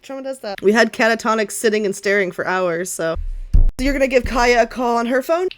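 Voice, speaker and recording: A woman's voice: a little high, animated, fairly quick, soft background music. A woman running a tabletop role-playing game, narrating casually as game master.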